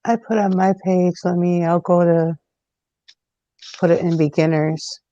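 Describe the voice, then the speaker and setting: A woman's voice: on the low side, fairly even intonation, unhurried, dark tone, dry recording. A woman on a pagan livestream panel making self-deprecating jokes in casual chat. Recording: dull dry video-call audio.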